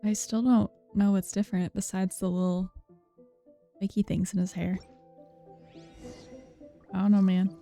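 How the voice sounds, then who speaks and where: A woman's voice: mid-range voice, little pitch movement, unhurried, long pauses, dark tone. A woman reacting casually to a game playthrough as a streamer, in offhand muttered asides. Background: game music.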